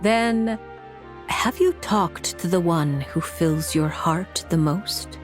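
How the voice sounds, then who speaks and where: A woman voicing a calm, knowing fortune teller in a video game, measured and cryptic, with music behind her. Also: on the low side. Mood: gently teasing. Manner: measured, cryptic, gently teasing. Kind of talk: theatrical video game voice acting.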